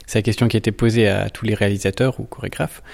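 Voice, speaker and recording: Creaky-voiced male interviewer. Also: lowish voice, steady tone, racing, darkish tone. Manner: hesitant, with frequent filler sounds. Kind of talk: interview questions.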